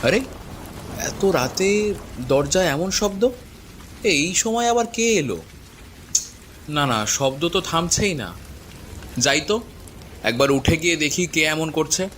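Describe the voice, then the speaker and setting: An actor voicing a bossy but kind-hearted village man in a cartoon, brisk and expressive. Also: a little high. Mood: puzzled. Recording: faint rain noise behind voice.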